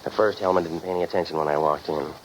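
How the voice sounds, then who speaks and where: An actor playing a cynical, deadpan private investigator in a scripted old-time radio detective drama. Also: male voice, a bass voice, very fast. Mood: dry, sardonic. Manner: deadpan, sardonic. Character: cynical hard-boiled private investigator, wisecracking loner. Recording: noisy muffled old radio recording.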